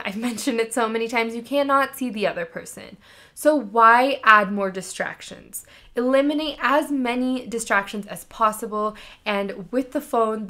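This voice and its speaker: A woman speaking as a career coach, giving instructional advice in an expressive, emphatic, conversational way. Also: medium pitch.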